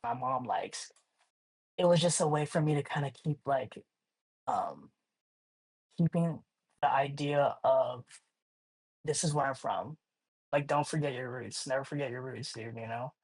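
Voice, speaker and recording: A man's voice: medium pitch, unhurried, pauses often, husky, rough recording. A young man from Hawaii, a guest artist in a casual interview, talking in a laid-back, slangy way full of "like" and "you know".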